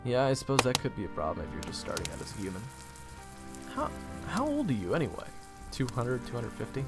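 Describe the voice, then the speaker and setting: A man's voice: medium pitch, very animated, fast talker, gravelly. A man in his twenties playing an easygoing, wry young man who narrates his own story in an audio drama. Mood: casual, amused. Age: 20s. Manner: casual, conversational, wry. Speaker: narrator and lead character. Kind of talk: first-person audio drama narration and dialogue. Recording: music bed behind voice.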